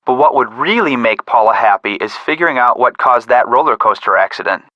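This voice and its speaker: An actor playing a level-headed young American detective who offers dry, understated hints in a video game. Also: male voice, medium pitch, lively intonation, a little fast, no room sound, muffled recording, lightly acted. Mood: helpful, focused. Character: level-headed young man detective, dryly wry helper.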